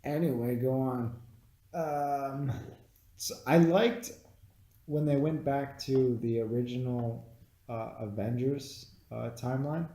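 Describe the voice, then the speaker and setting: A man's voice: medium pitch, fairly even intonation, slow, dark tone. A man who has had some beers, chatting casually as a co-host reviewing a film, with slangy talk and free swearing. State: has been drinking beer. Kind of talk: casual chat. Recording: echoey, roomy sound.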